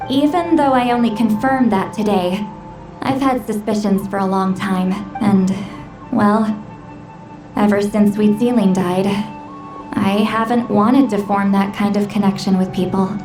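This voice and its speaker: A woman's voice: mid-range voice, steady tone, a little slow, darkish tone, echoey room, far from the mic, soft background music, close to an everyday voice, poor audio. A woman playing a centuries-old shaman in a video game, reclusive and prickly but secretly tender, speaking in an aloof, reflective way. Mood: wistful, resigned. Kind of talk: video game story dialogue acting.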